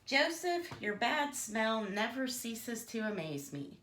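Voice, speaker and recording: A woman plays a rude, self-important pig from a children's storybook. He talks with theatrical pomp and very lively intonation. The room adds clear echo. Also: on the high side, slow, pitched above the performer's own voice, heavily acted. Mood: snide. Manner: pompous, theatrical. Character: vain, rude male pig, snidely pompous.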